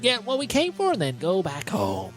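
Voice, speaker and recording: A man's voice: high-pitched, huge pitch swings, moderate pace, fairly bright tone, faint music behind. A man playing a tabletop roleplaying game, switching between casual game chat and speaking as his character.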